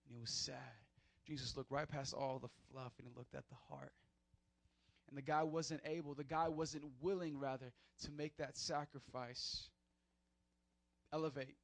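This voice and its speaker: A man preaching a sermon as pastor in a church, animated, acting out short skits in other voices. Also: medium pitch, moderate pace, dry recording.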